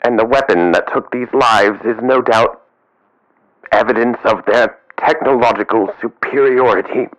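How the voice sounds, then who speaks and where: An actor playing a grave, shaken professor giving an eyewitness account in a radio drama, measured and formal, through a radio filter. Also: male voice, mid-range voice, dry recording. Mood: awed, grave. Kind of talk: 1930s-style radio drama acting. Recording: muffled, band-limited radio link.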